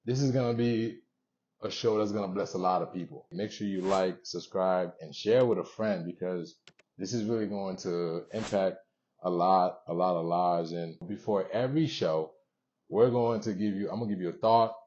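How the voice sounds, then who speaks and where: A young man with a deep, mellow voice hosts a motivational show. He talks earnestly and conversationally to viewers, pausing between short phrases. The recording sounds a little dull and lo-fi. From born in Haiti, moved around the US. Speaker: show host.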